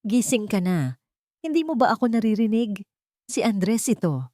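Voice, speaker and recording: A woman's voice: medium pitch, sing-song, moderate pace, dark tone, no room sound, clean studio sound, pitched above the performer's own voice, natural voice. A woman with a Filipino accent voicing a devoted, anxious young man in a story, tender and pleading. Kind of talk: storybook dramatic dialogue.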